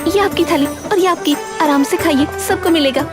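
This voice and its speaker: Woman voicing a high-pitched earnest young village woman. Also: normal speed, over music. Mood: cheerful. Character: hardworking, cheerful young village woman food seller. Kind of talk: cartoon dialogue.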